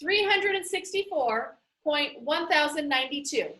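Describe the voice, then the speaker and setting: A commanding, exacting woman librarian from a storybook, as a woman reader puts her on. Her voice is high and bright, and she pronounces each word with businesslike, exaggerated precision. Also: expressive, normal speed, echoey room. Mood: precise, businesslike. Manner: over-enunciated, precise, businesslike.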